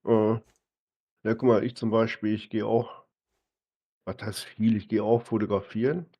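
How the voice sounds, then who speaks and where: A man's voice: on the low side, little pitch movement, moderate pace, dull audio. A man chatting casually with a gaming partner in a conversational way, often ending phrases with a short tag question. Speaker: gaming partner on a live stream. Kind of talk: casual chat while gaming.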